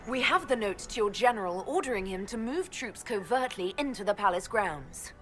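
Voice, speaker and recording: An actress playing a composed, principled elf mage leader in a fantasy game, measured and plain-spoken. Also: female voice, mid-range voice, animated, fairly bright tone, faint music behind, natural voice. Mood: firm. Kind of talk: game dialogue acting.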